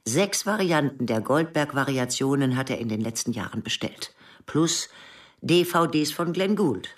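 An actress playing a secretive intelligence officer, terse and matter-of-fact, in a radio drama. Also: female voice, extremely low pitch, animated, moderate pace, distant mic. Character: secretive female intelligence officer briefing a colleague. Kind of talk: radio drama acting, low dialogue.

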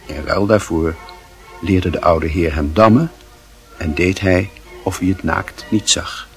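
A man narrating a literary radio play with measured, deliberate phrasing. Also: sits low, faint music behind. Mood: measured, wry. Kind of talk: scripted narration.

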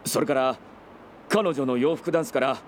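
An actor playing a junior police detective in a radio drama, giving an urgent report. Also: male voice, mid-range voice, moderate pace, a little hiss or hum, natural voice. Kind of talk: Japanese radio drama acting.

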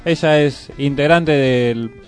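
A man's voice: medium pitch, slow pace, soft background music. A man with an Argentine accent hosting and interviewing on the radio in a conversational style. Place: radio studio.

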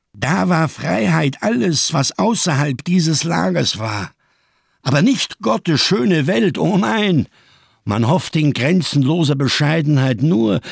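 Gravelly, husky, scarred camp survivor. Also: male voice, a little high, no room sound, studio quality, heavily acted. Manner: solemn, rhetorical, swinging between hushed and impassioned. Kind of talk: radio drama monologue.

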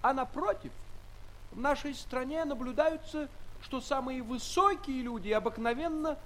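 An actor in an audio play playing a tiny, dignified human traveler among giants, speaking formally and earnestly. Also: male voice, very high-pitched, normal speed, fairly bright tone, slight background noise, rough recording. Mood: courteous, earnest.

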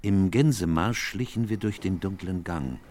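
An actor playing a male detective's companion, narrating a radio play in measured, atmospheric literary style. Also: low-pitched, darkish tone, slight background noise, close to an everyday voice. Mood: grave, atmospheric.

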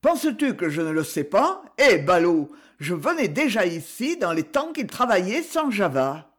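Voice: medium pitch, big pitch swings, moderate pace, slightly rough. A narrator voicing a wiry, tipsy little Parisian cyclist who gossips and banters in slang. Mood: bragging.

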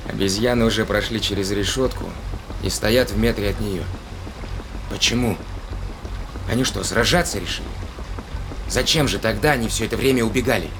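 An actor in an audio drama playing an aggressive, impatient spaceship captain. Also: male voice, on the low side, normal speed, long pauses, background noise, natural voice. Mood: tense, puzzled. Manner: commanding, impatient. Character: aggressive, hot-headed male spaceship captain on the hunt. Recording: loud music and effects behind voice.

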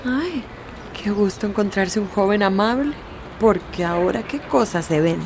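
A woman's voice: medium pitch, expressive, a little slow, background noise. An actress playing a nosy, gossipy older village woman, fussy and scandalized, in a radio drama. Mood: impatient, gossipy.

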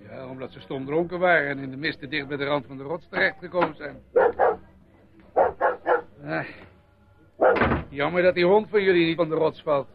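A gravelly, scoffing village doctor in a radio play. He grumbles gruffly in a fairly high man's voice, on a slightly dull old recording. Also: fairly even intonation, moderate pace, long pauses, heavy background noise. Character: grumbling, sceptical village doctor, male pub regular. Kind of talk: radio drama acting.

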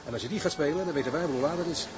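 An actor plays a seasoned merchant seaman in a radio play, cheeky and blunt. His voice runs a little high, full of wry sailor slang, with noisy ship ambience around it. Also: rapid-fire, lightly acted. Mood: amused storytelling. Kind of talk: Dutch maritime radio drama acting.

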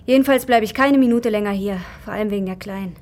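A woman's voice: medium pitch, fast, darkish tone, lightly acted. An actress playing a young mother, polite and resolute under worry, in a naturalistic radio drama. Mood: worried. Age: young adult.

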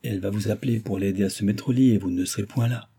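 A tense, secretive young man, as a male reader voices him, speaking tightly and holding back. The tone is low, dark and husky, a little quieter than the narration. It plays like a storybook scene read aloud. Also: fairly even intonation, quick pace. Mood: guarded. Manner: tight, guarded.

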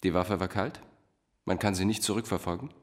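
An actor playing a cold, manipulative doctor in a crime radio drama, speaking in a measured, condescending, commanding way. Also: male voice, sits low, steady tone, close to an everyday voice. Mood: calm, commanding. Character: controlling male doctor, cold manipulative cult-like mastermind. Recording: music playing behind the voice.

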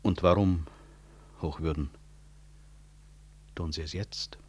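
An actor playing a proud, courteous doctor and professor in a 1960s German radio drama, formally polite with dry irony. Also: male voice, sits low, fairly even intonation, slow, a little mellow, slightly rough, full character voice. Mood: reserved, probing. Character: proud, ironic, courteous medical professor, quietly principled.

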